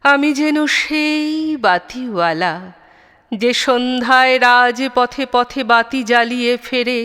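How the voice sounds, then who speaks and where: A high-pitched woman gives a dramatic poetry recitation. She moves slowly and deliberately through each phrase, leaving expressive pauses. The voice is wrapped in long reverb. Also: smooth. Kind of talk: dramatic, measured poem recitation.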